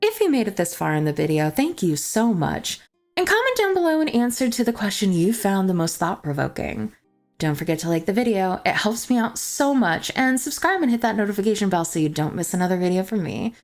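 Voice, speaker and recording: A woman's voice: medium pitch, sing-song, brisk. A young adult woman chatting as a book vlogger, gushing and confessional in manner. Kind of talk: chatty book review to camera. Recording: music playing behind the voice. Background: background music.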